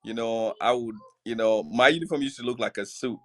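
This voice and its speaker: A man in his forties from Cameroon with a Cameroonian accent, chatting as a podcast guest, relaxed and laughing easily between filler pauses. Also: medium pitch. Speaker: podcast guest, clothing brand founder. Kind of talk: casual interview chat.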